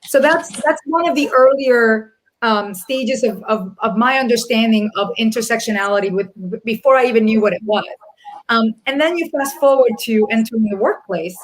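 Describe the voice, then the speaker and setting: Woman, middle-aged, from the Dominican Republic, fairly high pitch, earnest, reflective, panel talk, video call. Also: low-quality recording. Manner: earnest, reflective, pauses and restarts often. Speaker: panelist, corporate people executive. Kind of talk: panel discussion.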